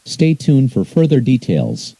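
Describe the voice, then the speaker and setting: A man's voice: mid-range voice, wildly swooping pitch, measured pace, vocal fry, mellow, husky, dry recording. A man reads a scripted emergency alert announcement in a formal, impersonal manner. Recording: muffled, hissy emergency broadcast audio.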